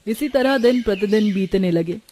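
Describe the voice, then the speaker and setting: A woman's voice: mid-range voice, normal speed, dark tone, a little hiss or hum. A woman reading scripted narration for a moral story, as its storyteller.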